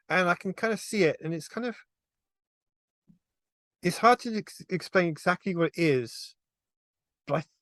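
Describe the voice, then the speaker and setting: A man's voice: fairly high pitch, fairly bright tone, no room sound. A man in a casual panel discussion, explaining things in a tentative way. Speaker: film review panelist and animation hobbyist.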